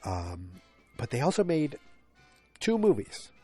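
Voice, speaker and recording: A man's voice: mid-range voice, huge pitch swings, slow pace, mellow, breathy edge, faint music behind. A man in his forties with a stuffed-up, congested voice, hosting a solo podcast and rambling with many restarts and fillers. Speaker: podcast host. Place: home, late at night.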